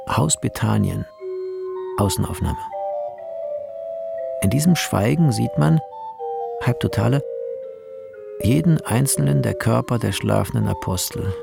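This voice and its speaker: A man narrating a radio play as a series of film scene directions, measured and clipped, with a creaky voice. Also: fairly low pitch, heavily acted.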